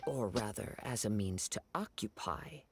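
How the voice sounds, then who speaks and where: An actor playing a calm, perceptive young wandering swordsman in a video game, measured, formal and thoughtful, on slightly noisy stream audio. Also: on the low side. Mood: calm, assured.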